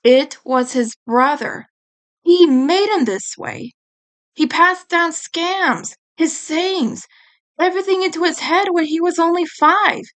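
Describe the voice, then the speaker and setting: A woman with a high voice narrates a story as an audiobook reader. She reads very slowly, drawing out phrases with dramatic expression. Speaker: fan fiction audiobook reader.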